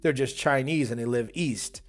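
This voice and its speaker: A man's voice: medium pitch, moderate pace. A man hosting a reaction video, giving casual, opinionated commentary that rambles with fillers.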